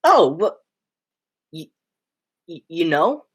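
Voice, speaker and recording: Young woman playing a frantic, stammering nephew, swooping pitch. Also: very animated, takes it slowly, slightly rough, heavily acted. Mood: baffled, alarmed. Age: teenager. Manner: stammering, exclamatory. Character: agitated nephew, a young man, increasingly horrified. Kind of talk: high school radio play acting.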